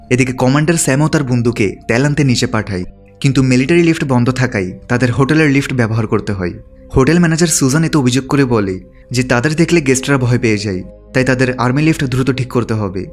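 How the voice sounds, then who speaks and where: A man narrating a film's story from a script, as a recap narrator. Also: mid-range voice. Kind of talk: scripted narration of a film plot.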